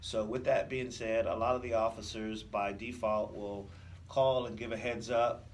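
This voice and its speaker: A man speaking as a police officer in an interview, explaining things haltingly and often repeating words. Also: lowish voice, level tone. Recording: slightly distant mic, faint noise.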